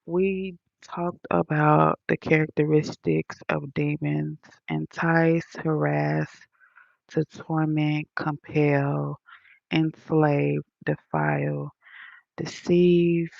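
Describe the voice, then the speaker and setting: A woman's voice: deep voice, extremely slow, gravelly, no room sound, highs cut off. A woman with a Southern accent hosting a livestream, lecturing her chat in an indignant, emphatic way. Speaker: livestream host reading chat. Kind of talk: casual livestream rant.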